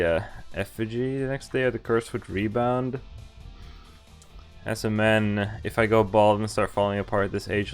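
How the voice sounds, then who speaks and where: A man's voice: on the low side, smooth. A man streaming a game, reading a visual novel aloud and adding playful, chatty commentary that wanders into asides. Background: game music.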